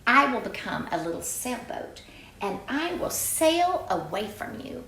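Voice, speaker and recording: A woman's voice: fairly high pitch, sing-song, unhurried, sharp tone, roomy sound, pitched above the performer's own voice, exaggerated voice, low-quality recording. A woman voicing an eager, defiant little runaway bunny from a storybook, boldly declaring its plans. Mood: determined.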